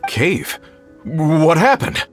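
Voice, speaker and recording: An actor playing an earnest, polite and slightly hesitant leader of a rescue party in a video game. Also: male voice, fairly high pitch, sing-song, very slow, soft background music, exaggerated voice. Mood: concerned, puzzled. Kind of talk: game cutscene dialogue acting.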